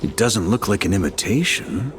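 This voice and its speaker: Deep-voiced, unflappable veteran knight. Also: male voice, sing-song, normal speed. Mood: musing, wary. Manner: wry, musing, confident. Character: rugged, unflappable veteran knight hero, wry and bold. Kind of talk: fantasy video game acting. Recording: game music behind the voice.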